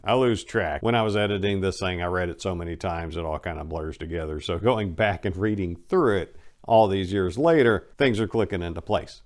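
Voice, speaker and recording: Male storyteller and host, lowish voice, informal dramatic reading with a conversational feel. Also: gravelly.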